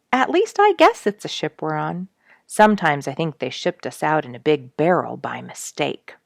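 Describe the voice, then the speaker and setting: A woman's voice: low voice, vocal fry, no echo, studio quality, heavily acted. A woman reading aloud as a good-humored male soldier writing home from a ship at sea, in a wry, musing tone.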